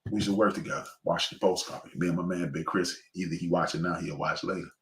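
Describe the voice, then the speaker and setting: A man's voice: sits low, steady tone, quick pace, gravelly, roomy sound. A man with a Chicago accent hosting a casual livestream monologue, rambling and preachy, full of fillers and restarts. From Chicago West Side. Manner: rambling, preachy, many fillers and restarts, shout-outs to friends. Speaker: livestream host.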